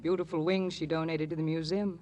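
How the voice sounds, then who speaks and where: A woman's voice: deep voice, little pitch movement, a little fast, dark tone, highs cut off, natural voice. An actress playing a flirtatious, forward, wealthy married woman in a radio drama, coy and teasing. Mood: chatty, curious.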